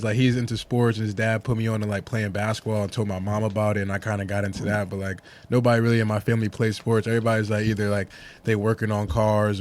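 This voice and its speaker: Man, quick talker, low-pitched, chatty, laughs a lot, podcast guest. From Norwalk, Connecticut. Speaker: guest, artist manager. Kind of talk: casual podcast interview.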